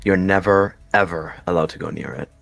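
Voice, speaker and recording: A man's voice: low voice, steady tone, a little fast, creaky, fairly bright tone, soft background music. A man voicing a stern, guarded adult warrior cat mentor in a fantasy roleplay.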